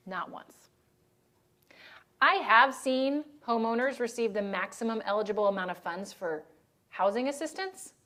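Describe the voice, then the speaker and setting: A woman's voice: a little high, a bit bright. Woman giving a disaster aid training. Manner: conversational, checks in with "right?" and "okay?". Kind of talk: training presentation.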